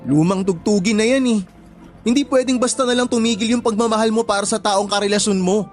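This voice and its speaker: Man acting clingy heartbroken boyfriend, high male voice, pleading, insistent, radio drama, faint music. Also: a little fast, natural voice. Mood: hurt, insistent. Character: desperate, pleading jilted boyfriend clinging to his ex. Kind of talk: emotional radio drama dialogue.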